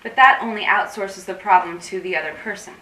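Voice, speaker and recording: A roomy, slightly distant recording with faint noise carries an actress playing an anxious, intense woman. Her voice is bright and forceful, and she confides in long, dramatic, wordy lines, as in a staged reading of a play. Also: medium pitch, a little fast, lightly acted. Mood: restless, earnest. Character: anxious, intense woman craving digital disconnection. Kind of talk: theatrical staged reading, monologue-like dialogue. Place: room at a live staged reading.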